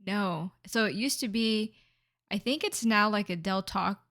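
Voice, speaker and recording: A young woman with a middle-pitched voice, talking in a relaxed, chatty podcast conversation. She is blunt and easygoing as she shares stories. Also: normal speed. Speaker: podcast co-host. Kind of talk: casual chat.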